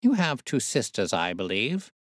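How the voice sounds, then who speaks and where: A man voicing a brisk, observant gentleman detective in a storybook reading, self-assured, precise, formal and courteous. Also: mid-range voice, wildly swooping pitch, no echo, clean studio sound, pitched above the performer's own voice. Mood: keen, assured.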